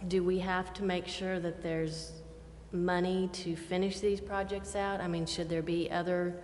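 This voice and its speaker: A woman with a lowish voice puts questions at a public meeting. She speaks informally and rephrases as she goes. The room rings with echo, over faint background noise. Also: little pitch movement, measured pace, a little mellow. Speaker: committee member asking questions. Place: large echoey council chamber. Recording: echoey hall reverb, faint background noise.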